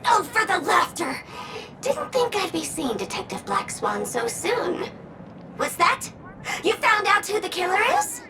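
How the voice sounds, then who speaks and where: A woman's voice: extremely high pitch, very animated, unhurried, recorded from a distance, background noise, full character voice. An actress playing a flamboyant woman sheriff in a video game, with melodramatic, gushing delivery and sudden exclamations. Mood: gushing, excited.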